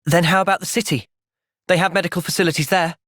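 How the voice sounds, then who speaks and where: An actor playing an earnest, thoughtful young male hero in a fantasy video game, speaking sincerely and clearly. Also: high-pitched, fairly even intonation, fast talker, fairly bright tone, no echo, clean studio sound. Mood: calm, measured. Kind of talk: video game cutscene voice acting.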